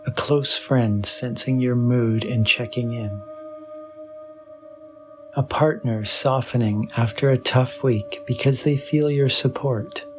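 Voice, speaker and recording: A man leading a guided meditation in a soothing, measured way with long pauses, in a slow meditative style. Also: medium pitch, a little mellow. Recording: voice over background music with singing bowl. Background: meditation music.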